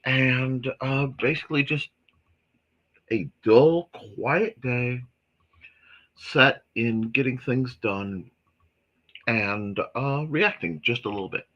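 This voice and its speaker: A man hosting a casual morning livestream, his voice a bit hoarse and scratchy, talking in an apologetic, rambling way with lots of fillers. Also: medium pitch, slow, pauses often, a bit bright, dull audio. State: slightly hoarse from a reaction. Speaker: host of a creative morning show.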